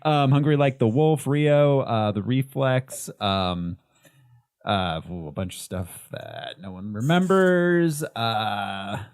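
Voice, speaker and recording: A man's voice: medium pitch, animated, takes it slowly, a little mellow, dry recording, studio quality. Man casually listing films with ums. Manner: rattles off lists with many ums and laughs. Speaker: podcast co-host. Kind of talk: casual movie chat.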